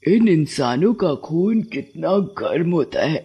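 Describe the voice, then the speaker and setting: An actor voicing a bloodthirsty female witch in a horror story, gloating and menacing with drawn-out lines. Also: medium pitch, animated, slightly rough, dull audio, full character voice. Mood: gloating menace. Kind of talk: Hindi animated horror storybook acting.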